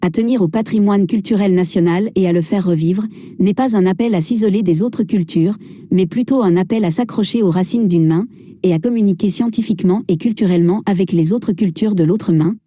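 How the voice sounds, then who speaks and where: Female reader, formal and solemn; very dark, mellow voice recorded dry. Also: mid-range voice, brisk, no room sound. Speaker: reader of a translated speech. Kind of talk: formal reading of a speech.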